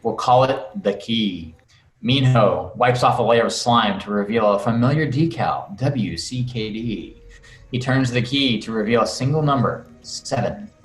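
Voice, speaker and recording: A man's voice: medium pitch, expressive, unhurried. A man reading screenplay stage directions aloud as narrator at a table read over a video call. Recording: roomy video call, faint noise.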